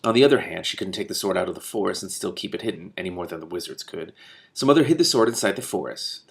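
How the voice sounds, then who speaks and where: Male book reader, slightly low register, rapid delivery, narrating fiction for a read-along with commentary. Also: gravelly. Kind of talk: expressive book read-aloud with off-script commentary.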